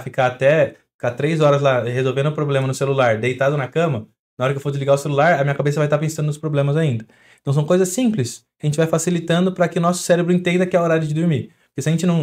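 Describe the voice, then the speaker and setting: A young adult man with a Brazilian Portuguese accent, a doctor guest on a podcast, explaining with everyday examples in a quick, chatty way. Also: fairly high pitch, fairly even intonation, a little mellow. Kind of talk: podcast interview.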